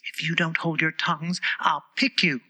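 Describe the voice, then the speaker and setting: A man plays a polite little girl in a storybook, earnest and inquisitive. The voice is lifted high and moves in lively swings, quick to sound put out. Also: exaggerated voice. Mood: firm. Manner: earnest, questioning. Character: polite, curious little girl, easily exasperated.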